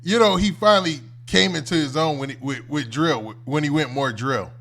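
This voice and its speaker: Casting: male American livestream host, slightly high voice, colloquial and conversational reaction commentary. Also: moderate pace, vocal fry, fairly bright tone, a little hiss or hum. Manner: conversational, colloquial, often ends phrases with "man". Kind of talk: casual off-the-cuff reaction commentary.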